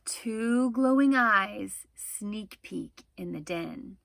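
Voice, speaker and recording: A woman's voice: mid-range voice, extremely slow, creaky, fairly bright tone, dry recording. A woman reading a picture book aloud to young children, expressively, with instructive asides to the listeners. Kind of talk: animated children's read-aloud with explanatory asides.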